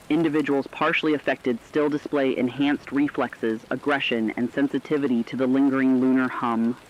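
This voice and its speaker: A man playing a serious radio reporter, delivering scripted emergency narration in a measured way. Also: mid-range voice, dull audio, slight background noise, close to an everyday voice. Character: serious male radio reporter covering a werewolf catastrophe.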